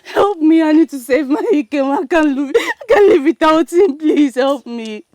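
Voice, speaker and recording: A woman's voice: high-pitched, animated, extremely slow, mellow, no room sound, studio quality, exaggerated voice. An actress playing a desperate, heartbroken young bride-to-be in a village melodrama, crying as she talks in a Nigerian accent. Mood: desperate pleading. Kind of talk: village melodrama film acting.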